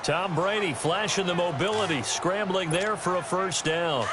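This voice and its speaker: A man's voice: high-pitched, moderate pace, background noise, natural voice. A man with an American accent voicing an upbeat football play-by-play announcer in a video game, with polished, punchy broadcast delivery. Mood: engaged, brisk.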